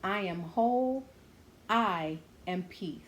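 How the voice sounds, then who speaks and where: A woman's voice: mid-range voice, lively intonation, takes it slowly, a little hiss or hum. A woman reading a children's book aloud, adding simple, encouraging asides spoken directly to her young listeners. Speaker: children's story-time host reading a picture book aloud.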